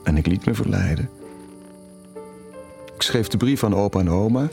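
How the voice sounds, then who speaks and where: Remorseful middle-aged father, ex-military, low register, halting delivery, audio drama with music behind. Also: vocal fry, dark tone. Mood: reminiscing. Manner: halting, searching for words. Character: ex-military father, awkward, remorseful, confessing a secret. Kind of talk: audio drama monologue and dialogue.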